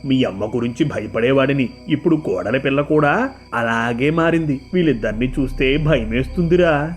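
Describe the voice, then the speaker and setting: A man's voice: mid-range voice, normal speed, faint music behind, close to an everyday voice. A man voicing a worried, fretful old father-in-law in a cartoon story.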